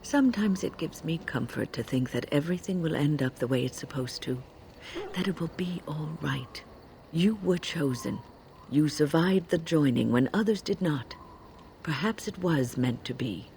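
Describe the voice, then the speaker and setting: An actress playing a kindly, wise older female mage in a fantasy video game, speaking gently in measured, consoling phrases. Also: deep voice, lively intonation, slight background noise. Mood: gentle, consoling.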